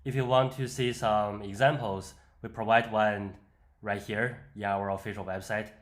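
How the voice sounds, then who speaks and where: Low-voiced man giving a software tutorial. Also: steady tone, moderate pace. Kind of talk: unscripted screen-demo walkthrough.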